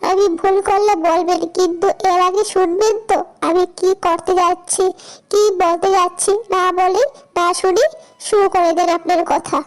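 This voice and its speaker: A woman's voice: very high voice, flat delivery, normal speed. A woman voicing a dutiful young daughter-in-law in a cartoon, earnest and hurt as she pleads and explains herself. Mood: earnest, plaintive. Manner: earnest, self-justifying, plaintive. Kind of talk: cartoon voice acting.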